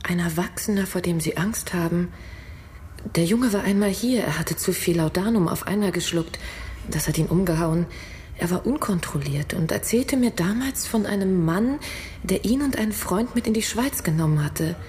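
A woman's voice: on the low side, normal speed, darkish tone, slight background noise, natural voice. A woman acting a reserved, cooperative witness in a radio crime drama, speaking seriously and carefully.

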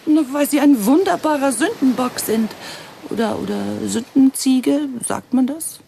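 A woman's voice: high voice, unhurried, vocal fry, mellow, a little hiss or hum. An actress playing a cold, greedy female villain in a radio play, giving orders with sardonic, mock-polite menace. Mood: mocking, gloating.

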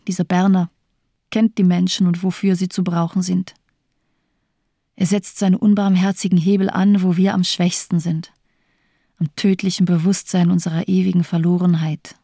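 A woman's voice: fairly low pitch, flat delivery, a little slow, pauses often, warm tone, no room sound, clean studio sound. An actress playing a bitter, cynical doctor in her thirties in a radio drama, speaking in declamatory, rhetorical phrases. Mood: bitter, fatalistic. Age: 30s. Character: bitter, cynical morphine-addicted woman doctor of 34.

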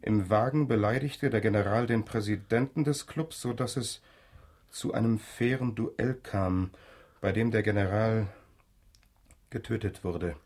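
A low-voiced young gentleman in a radio drama. He speaks formally and gravely, with measured declamation, as one determined to learn who killed his father. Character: young man demanding the truth about his murdered father. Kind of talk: German radio drama acting.

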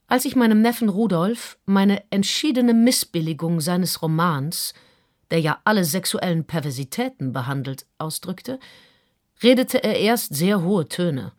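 A woman playing a prim, judgemental older aunt in a radio drama, reading out her report with precise disapproval. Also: fairly low pitch.

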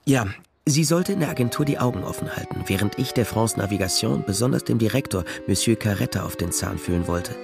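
A man's voice: fairly low pitch, expressive, a little fast, vocal fry, over music. An actor playing a dry, worldly detective who narrates his own case in a matter-of-fact, wry way. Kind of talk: scripted noir narration.

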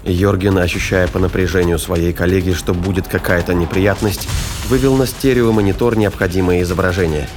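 Casting: male narrator for scripted sci-fi thriller drama, fairly low register, over prominent music.

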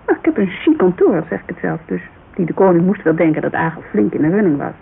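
Casting: talkative, loyal businesswoman, informal and gravelly, giving testimony in a radio play; narrow, hissy sound. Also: lowish voice, fast talker. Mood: amused, candid. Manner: informal, digressive, animated storytelling. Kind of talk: naturalistic radio drama dialogue. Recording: muffled, hissy old radio recording.

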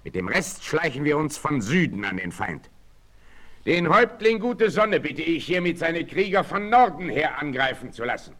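An actor playing a bold frontier hunter and leader, declamatory and decisive, in a 1960s audio drama. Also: male voice, a little high, very animated, moderate pace, a bit bright. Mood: resolute, commanding.

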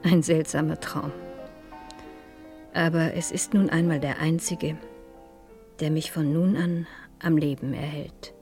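An actress playing a curious, composed madame in a radio crime drama, speaking in a reflective, wry way. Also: female voice, low-pitched, steady tone, measured pace, long pauses, a little mellow, faint music behind. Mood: wistful, reflective. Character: curious, composed madame narrating her own dangerous case. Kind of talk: German radio crime drama acting and narration.

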